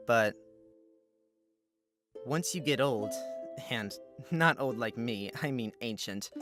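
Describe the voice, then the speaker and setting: A woman voicing a gentle, polite eighteen-year-old orphan in a visual novel, speaking earnestly. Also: extremely low pitch, pitched below the performer's own voice. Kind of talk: visual novel character reading.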